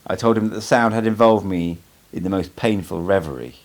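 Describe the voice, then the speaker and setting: A man's voice: low-pitched, fairly quick. A man reading a first-person story aloud as scripted audiobook narration. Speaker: amateur audiobook reader.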